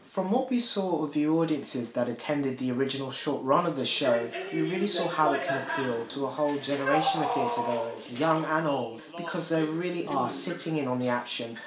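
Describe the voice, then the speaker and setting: Man, theatre maker, medium pitch, articulate, promotional interview style, echoey room with faint hiss. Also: normal speed, hardly any pauses. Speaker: theatre company member. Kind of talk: interview to camera about a production.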